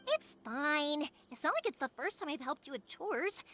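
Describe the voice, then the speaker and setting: An actress voicing a cheerful, energetic young fireworks maker in a video game, bubbly and reassuring. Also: female voice, high voice, normal speed, heavily acted. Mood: eager, reassuring. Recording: music behind the voice.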